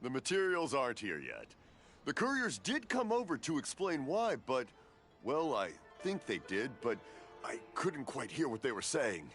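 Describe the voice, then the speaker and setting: A man voicing a cheerful, befuddled, hard-of-hearing elderly father in a video game, halting in his talk, over music. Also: mid-range voice, huge pitch swings. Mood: cheerfully befuddled. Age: older. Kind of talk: English video game character acting. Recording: music playing behind the voice.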